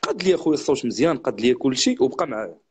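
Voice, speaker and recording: A man with a Moroccan accent hosting a live stream, chatting quickly with viewers and a guest. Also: mid-range voice, expressive, husky. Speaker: livestream host. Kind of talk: casual live chat and storytelling.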